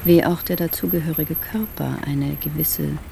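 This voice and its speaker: Creaky, fastidious, self-absorbed traveller. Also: male voice, a little high, steady tone, warm tone, slight background noise. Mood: appraising. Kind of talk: radio drama interior monologue.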